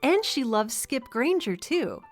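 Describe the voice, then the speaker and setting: A woman playing a gracious, sly wife hosting dinner in a comedic audio drama, sincere and gently teasing. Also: sits high, big pitch swings, a little slow, faint music behind, full character voice. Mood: sweetly wry.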